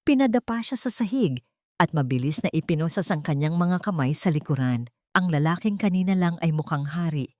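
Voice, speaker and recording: A woman's voice: sits low, expressive, a little fast, darkish tone, no echo, clean studio sound. A woman with a Filipino accent narrating a scripted dramatic story as an audiobook narrator.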